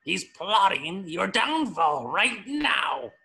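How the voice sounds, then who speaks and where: A man's voice: high voice, big pitch swings, slow, creaky, pushed and bright, exaggerated voice. A man voicing a scheming, bombastic tiny cartoon villain who goads and bosses in a grandiose way. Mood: scheming, goading. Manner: grandiose, goading. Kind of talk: cartoon script voice acting.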